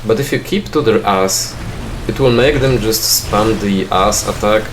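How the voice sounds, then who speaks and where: Slow low-voiced Polish-accented man. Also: noisy background. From Poland. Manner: dry self-mocking, thinks aloud with fillers. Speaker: let's play gamer. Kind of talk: casual gameplay commentary.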